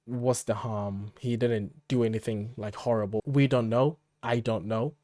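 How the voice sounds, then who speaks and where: A man's voice: medium pitch, steady tone, measured pace, mellow, no echo. A man co-hosting a podcast, making his case conversationally with blunt emphasis and rhetorical questions. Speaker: podcast co-host. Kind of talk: conversational commentary, arguing a point.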